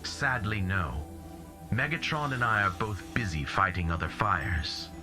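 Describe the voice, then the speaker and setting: An actor playing a noble, solemn giant robot commander in a video game, speaking in a grave, formal, measured way. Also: male voice, low-pitched, expressive, music in the background. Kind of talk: scripted video game cutscene acting.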